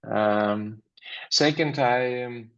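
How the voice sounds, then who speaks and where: A man's voice: on the low side, very slow, fairly bright tone. A man with a Russian accent giving a hesitant webinar lecture on dentistry, full of fillers and restarts over a video call. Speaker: dental lecturer presenting a webinar. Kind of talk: halting webinar presentation. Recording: slightly dull video call audio.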